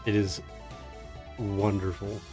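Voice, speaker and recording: Low-pitched man, unhurried, gaming chat. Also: level tone, warm tone. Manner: jokey, self-deprecating. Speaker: speedrunner playing live. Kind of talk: casual live-stream gameplay chat. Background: game music.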